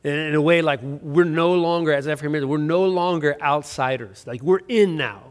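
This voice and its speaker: A man's voice: fairly high pitch, a little fast. A middle-aged man preaching a sermon as pastor, conversational and self-deprecating, with frequent restarts and fillers. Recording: long reverb of a large hall.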